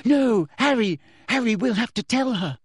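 An earnest storybook schoolgirl, performed by a man, pleads in a high voice. She sounds anxious and fretful, halting between phrases, with very animated pitch. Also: slightly rough, no room sound, clean studio sound, full character voice. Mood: alarmed.

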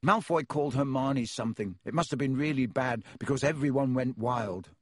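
A man's voice: medium pitch, normal speed, no room sound, studio quality, lightly acted. Man doing a fretful clever schoolgirl. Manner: earnest, slightly anxious. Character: anxious clever teenage schoolgirl witch.

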